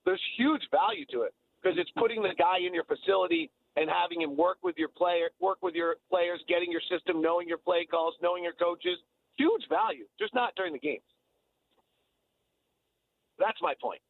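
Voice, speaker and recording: A man's voice: high-pitched, expressive, moderate pace, gravelly, phone-quality sound, slight background noise. A man giving emphatic, opinionated basketball analysis in a sports radio conversation, repeating phrases to drive points home. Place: radio talk show.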